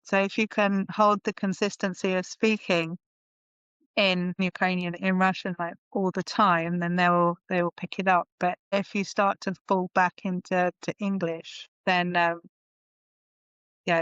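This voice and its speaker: A woman guest on a podcast who chats casually and thinks aloud, often restarting her sentences. Her voice is smooth and clear at a medium pitch. The audio is dry and a little dull. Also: level tone, a little slow, a bit bright, dry recording. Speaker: podcast guest, marketing consultant. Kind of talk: casual conversation.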